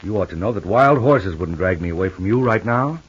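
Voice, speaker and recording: A dull old radio recording holds a devoted, stubborn husband in a soap opera. His voice is fairly low and rough, with lively rises and falls. He is affectionate and offhand, yet firm about looking after his wife. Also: brisk. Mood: firm, loving. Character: protective, stubbornly loving husband, a famous actor.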